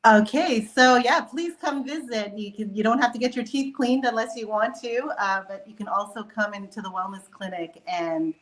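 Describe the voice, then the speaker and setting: A woman's voice: fairly high pitch, moderate pace, hardly any pauses, rough recording. A woman speaking casually and conversationally as a guest on a talk show.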